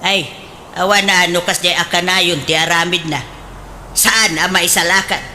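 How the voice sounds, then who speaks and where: A woman's voice: on the low side, fairly even intonation, moderate pace, bright, forceful tone, slight background noise. A woman playing a pious, nagging aunt in an Ilocano radio comedy drama, speaking emphatically with an Ilocano accent. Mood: reproachful, nagging.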